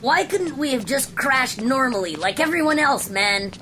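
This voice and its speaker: A woman's voice: high voice, animated, normal speed, pushed and bright, a little hiss or hum. Woman as a casual, grumbling stranded spacefarer. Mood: puzzled, resigned. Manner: casual, slangy. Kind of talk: amateur sci-fi radio play.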